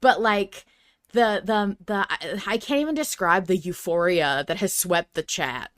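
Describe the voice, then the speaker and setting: A woman hosting a fan recap podcast, chatting in a gushing, sweary, excited manner. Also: on the low side, unhurried, no echo, clean studio sound. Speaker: podcast host.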